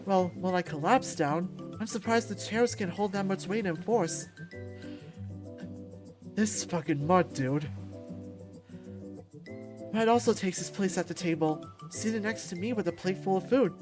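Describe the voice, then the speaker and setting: A woman reading a visual novel aloud as expressive first-person narration. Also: mid-range voice, normal speed, hardly any pauses. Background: music.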